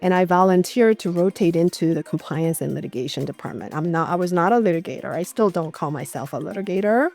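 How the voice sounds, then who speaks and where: Middle-aged woman, slightly low voice, reflective. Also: fast, few pauses, a little mellow, soft background music. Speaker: interview guest, corporate legal executive. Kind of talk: conversational interview answers.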